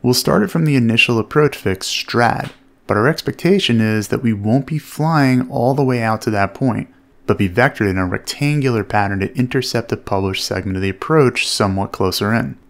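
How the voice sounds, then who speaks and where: A man with a creaky, gravelly edge explains a flight step by step. He speaks at a medium pitch in a measured, instructional manner, like a flight instructor giving commentary.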